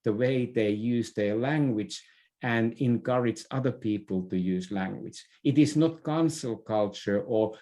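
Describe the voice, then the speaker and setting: A man giving a conversational explanation as an interview guest, halting as he goes and often repeating or correcting his words. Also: mid-range voice, warm tone. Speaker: interview guest, leadership expert.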